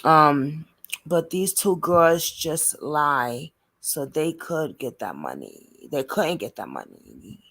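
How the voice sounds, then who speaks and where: A woman's voice: low-pitched, lively intonation, slow, fairly bright tone. A woman hosting an informal online discussion, talking casually as she thinks things through. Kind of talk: casual chat.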